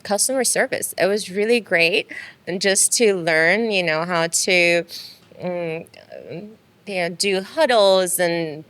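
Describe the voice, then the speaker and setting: Soft-spoken Iranian-born woman, smooth low voice. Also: slow. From Iran. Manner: soft-spoken, frequent um fillers. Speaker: guest. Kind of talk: casual interview.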